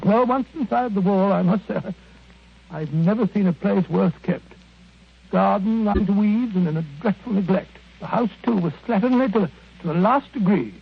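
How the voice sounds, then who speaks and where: An actor playing a genial, bumbling middle-aged doctor with a British accent, hesitant and stammering, in old radio drama style. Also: male voice, sits high, normal speed, vocal fry, slightly rough, exaggerated voice. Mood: earnest narrating. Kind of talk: 1940s radio drama acting. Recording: tinny, phone-like vintage radio recording.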